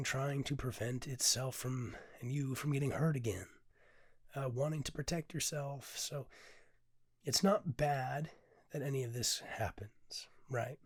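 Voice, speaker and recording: A hoarse man with a raspy voice, a podcast host and poet who reads a poem and then talks in a candid, rambling way, swearing casually. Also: medium pitch, darkish tone, no room sound, studio quality. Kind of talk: poetry reading then casual reflective talk.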